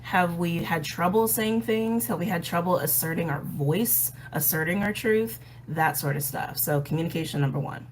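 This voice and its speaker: Raspy-voiced woman in a chatty astrology talk. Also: on the low side, normal speed, few pauses, a little hiss or hum, rough recording. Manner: chatty, with frequent ums and light laughs. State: hoarse, recovering from a respiratory illness. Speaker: astrology and tarot channel host. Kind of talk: casual explanatory astrology talk.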